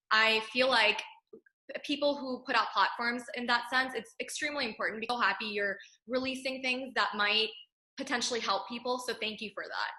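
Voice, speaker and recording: A woman's voice: on the high side, pushed and bright, echoey room. A woman born in Pakistan and raised in Texas, hosting a podcast, affirming her guest and talking with many fillers. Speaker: podcast host. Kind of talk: casual interview talk.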